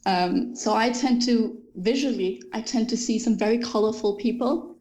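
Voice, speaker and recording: A woman's voice: fairly high pitch, a little mellow, low-quality recording. Thoughtful Danish woman in conversation. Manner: thoughtful, laughs often, pauses with ums. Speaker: author guest. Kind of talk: interview conversation.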